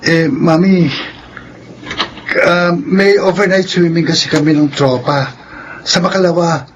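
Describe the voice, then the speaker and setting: An actor playing a cheeky, wheedling teenage student son in a Filipino radio drama. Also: male voice, a little high, fairly even intonation, unhurried, dull audio, noisy background, close to an everyday voice.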